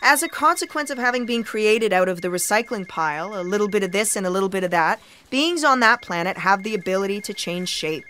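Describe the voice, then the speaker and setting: A woman playing an imperious, impatient editor of destiny, brisk and officious, in comic sci-fi audio theatre. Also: mid-range voice, expressive, sharp tone, soft background music.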